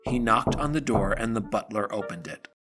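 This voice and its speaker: A man narrating a scripted fairy tale for an audio drama in a theatrical, measured storytelling manner. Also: on the low side, lively intonation, loud music behind the voice.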